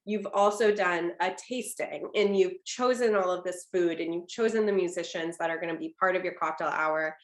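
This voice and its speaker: Chatty woman host, echoey room. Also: on the low side. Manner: chatty, agreeable, quick to laugh along. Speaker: wedding planner hosting a webinar. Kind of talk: casual webinar interview.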